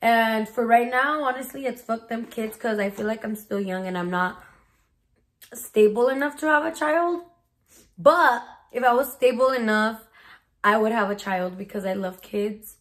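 A woman's voice: a little high, unhurried, a bit bright. A woman of twenty chatting casually in a bedroom Q&A vlog, slangy and mixing in Spanish words, recorded on a phone. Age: 20s. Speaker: vlogger. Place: small bedroom. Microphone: phone camera at arm's length.

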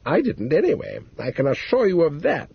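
A man's voice: high-pitched, wildly swooping pitch, fairly quick, breathy edge, pitched above the performer's own voice, exaggerated voice. A man voicing a prim, icy elderly spinster who speaks in a cold, precise way. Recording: dull lo-fi recording.

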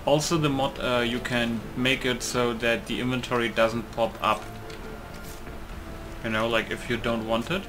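A man's voice: mid-range voice, flat delivery, normal speed, over music. A man giving casual, rambling game commentary, thinking aloud and repeating himself. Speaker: gamer commentating on own playthrough. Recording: clearly noisy recording.

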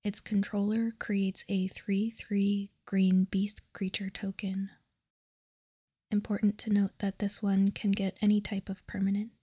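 Slow, whispering ASMR woman. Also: medium pitch, flat delivery. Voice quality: soft unvoiced whisper, breathy. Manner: slow, deliberate, hushed. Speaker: ASMR host. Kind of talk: ASMR, reading card text aloud.

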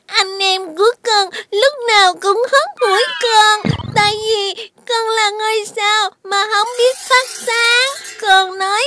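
A voice actor playing a small, earnest, outspoken cartoon child with exclamatory, drawn-out phrasing. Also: extremely high pitch, fairly even intonation, background noise. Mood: tearful, dejected. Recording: loud children's music behind voice.

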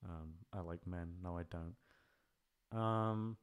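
A man's voice: sits low, steady tone, very slow, clean tone. A man chatting casually in a rambling, hesitant way, swearing freely as he goes. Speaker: ASMR voice actor, channel creator. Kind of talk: rambling casual farewell chat.

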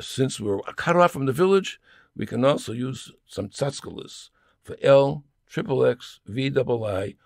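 Man with gravelly, creaky voice, wry delivery. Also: medium pitch, no echo, studio quality. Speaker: humorist reading own comic essay. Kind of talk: scripted humorous monologue, read aloud.

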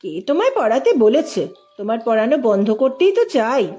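A woman's voice: fairly high pitch, sing-song, moderate pace, mellow. A woman voicing a stern, easily irritated female hostel superintendent in a story, curt and scolding. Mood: sharp.

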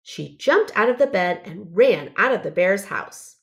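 Woman, storyteller, lively varied intonation, careful expressive children's narration. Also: mid-range voice, measured pace, gravelly.

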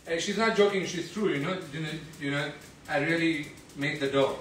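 A man's voice: fairly high pitch, brisk, slight background noise. A man bantering and teasing playfully while cooking in a large home kitchen, filmed by a phone across the room. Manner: teasing, animated, laughs often. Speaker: home cook filming a cooking video. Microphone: phone camera across the room. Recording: echoey kitchen, mic far off.

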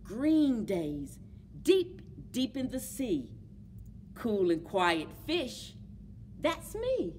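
A middle-aged woman hosting a children's story time in an animated, encouraging way, as if leading little listeners along. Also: on the high side, drawn-out words, long pauses. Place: indoors among library bookshelves. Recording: clear background noise, room ambience.

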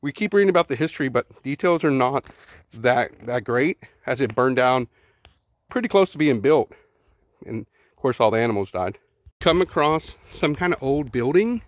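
A man's voice: medium pitch, expressive, moderate pace, dry recording. A middle-aged man with a Texan accent, narrating casually as he films himself walking through outdoor ruins on a handheld phone, thinking aloud and repeating words. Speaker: vlogger exploring ruins. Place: outdoors at a ruined zoo. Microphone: handheld phone camera.

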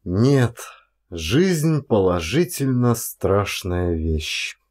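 A man's voice: on the low side, sing-song, extremely slow, a little mellow. A man reading aloud in the scripted manner of an audiobook narrator. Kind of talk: dramatic scripted literary narration.

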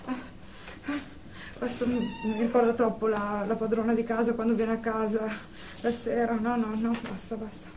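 An actress playing a nervous thirty-year-old psychiatric patient in a radio drama, evasive and hesitant, often repeating herself. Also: female voice, a little high, level tone, normal speed, darkish tone, close to an everyday voice. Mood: agitated, pleading. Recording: distant with faint background noise.

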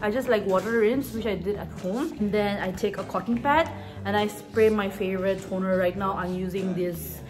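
A woman's voice: medium pitch, fairly even intonation, moderate pace. A young woman with an Indian accent chats casually and enthusiastically as she co-hosts a skincare demonstration. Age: young adult. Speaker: beauty vlog co-host. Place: small indoor room, pink-walled studio. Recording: loud music behind. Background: music.

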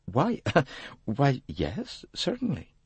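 A gentle, bewildered young woman in an audiobook, acted by a man. The manner is polite and hesitant, the voice breathy with a lively rise and fall. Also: medium pitch, drawn-out words, no room sound, pitched above the performer's own voice, heavily acted. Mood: puzzled, wistful. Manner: polite, uncertain.

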